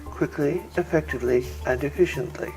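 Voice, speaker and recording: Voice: medium pitch, vocal fry, darkish tone, lightly acted, poor audio. A narrator's haughty, disdainful alien mayor. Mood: curt, stern. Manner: pompous, clipped contempt, drawn-out emphasis. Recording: music bed behind.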